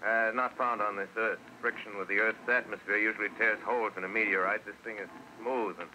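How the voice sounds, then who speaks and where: An actor playing a measured, puzzled professor of astronomy, deliberate and scholarly, in 1930s radio drama style. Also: male voice, lowish voice, steady tone, pushed and bright. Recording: slightly noisy 1930s radio broadcast.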